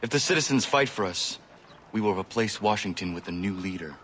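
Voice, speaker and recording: Stoic low-voiced warrior. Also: male voice, lively intonation, a little fast, fairly bright tone, slight background noise. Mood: resolute. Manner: terse, formal, solemn. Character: stoic, resolute young warrior joining a rebellion.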